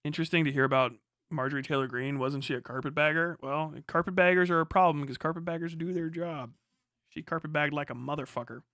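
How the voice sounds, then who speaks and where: A man's voice: fairly high pitch, steady tone, fast, a bit bright, no room sound, studio quality. A man hosting a casual livestream, giving blunt, profane, slangy political commentary and talking back to chat. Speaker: livestream host.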